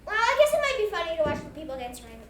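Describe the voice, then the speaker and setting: A woman's voice: very high voice, very animated, rapid-fire, fairly bright tone, slight background noise, heavily acted. A woman playing a gullible, mischievous young shepherd boy in a children's stage fable, with a naive, hesitant manner. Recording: distant, echoey room with music behind.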